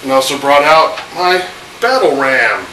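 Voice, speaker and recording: A man's voice: fairly high pitch, very animated, moderate pace, sharp tone. A man in his thirties with a cold, hosting a casual home video and chatting loosely with lots of fillers. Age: 30s. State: has a cold, voice a little off. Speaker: host. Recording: echoey room, distant mic, air conditioner hum.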